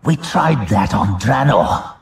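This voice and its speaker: A man's voice: on the low side, big pitch swings, takes it slowly, vocal fry, slightly rough, exaggerated voice. A man voicing a proud, suspicious orc warlock who growls terse, demanding questions in a fantasy audio drama. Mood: demanding, wary.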